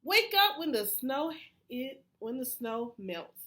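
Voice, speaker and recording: Woman as a bright-voiced, chiding mother frog. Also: high voice, sing-song, takes it slowly, heavily acted. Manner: calling out, chiding with questions. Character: nagging, motherly adult female frog.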